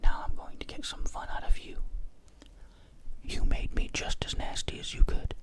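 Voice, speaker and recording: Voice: normal speed, a bit bright, natural voice. A storyteller whispering the part of a bitter, menacing male ex-policeman who sneers at the man who wrote him. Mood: bitter, sneering.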